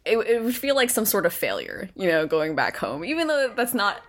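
Lively laid-back woman guest. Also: mid-range voice, a little fast. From Connecticut, born in Korea. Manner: laid-back, frequent laughs and fillers. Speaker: podcast guest, illustrator. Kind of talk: casual interview chat.

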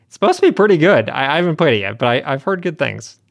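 A man's voice: a little high, big pitch swings, quick pace, vocal fry, dry recording, lo-fi sound. A man co-hosting a podcast, talking casually in a discussion. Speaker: podcast co-host.

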